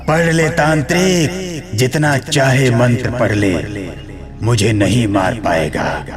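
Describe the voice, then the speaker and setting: Guy doing a boastful demon boss for a horror cartoon, slow n taunting, music behind. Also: medium pitch, expressive. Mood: gloating, taunting. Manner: taunting, commanding, laughs menacingly. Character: menacing, boastful male demon chief of a haunted village. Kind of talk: horror cartoon villain acting.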